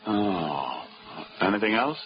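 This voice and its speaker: A man's voice: slow pace, background noise. An actor playing a breezy, flirtatious male insurance investigator in a 1940s radio drama who talks in easy wisecracks. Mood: brisk. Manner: wisecracking, easygoing drawl with lively pitch. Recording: dull vintage radio broadcast with music.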